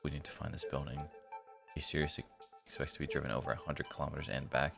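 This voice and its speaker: A man's voice: a bass voice, little pitch movement, quick pace, vocal fry, over music. A laid-back, tired man streaming a visual novel, reading it aloud and adding casual, slangy commentary. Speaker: game streamer.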